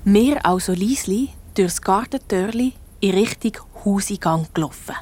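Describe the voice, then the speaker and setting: A woman's voice: mid-range voice, expressive, measured pace, dark tone, slightly rough. A woman playing a young girl detective who narrates her own adventure confidingly in a radio play.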